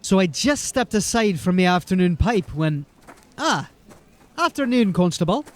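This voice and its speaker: A man's voice: high voice, big pitch swings, moderate pace. A man playing a folksy, rambling, deferential corporal of a fantasy city watch in an audio drama. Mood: eager, respectful. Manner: folksy, rambling, eager to please.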